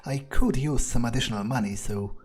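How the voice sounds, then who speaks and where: A man improvising in character during a video game playthrough, thinking aloud bluntly and haltingly, with swearing. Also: medium pitch, slightly rough. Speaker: video game player speaking as his character.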